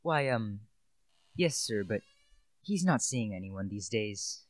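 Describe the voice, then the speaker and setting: A teenage male student actor playing a cautious, deferential butler in a radio play, formal and halting. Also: lowish voice, animated, no echo. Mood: apologetic.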